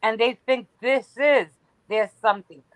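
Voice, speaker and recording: Casting: a playful, teasing woman with a fairly high voice, casual panel guest. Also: poor audio. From United States. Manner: playful, teasing, short quick replies.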